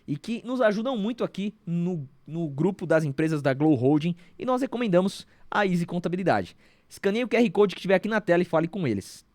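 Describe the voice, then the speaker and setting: Brazilian podcast host guy, high voice, chatty and excited, dry close sound. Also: no room sound. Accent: Brazilian Portuguese. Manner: chatty, enthusiastic, addresses listeners as friends. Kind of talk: sponsor reads and interview hosting.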